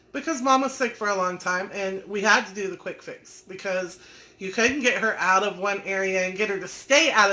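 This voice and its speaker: A woman's voice: medium pitch, pushed and bright. A woman, hosting a live stream, chatting folksily and teasing viewers by name as she reads their comments. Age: 40s. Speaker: online business community host.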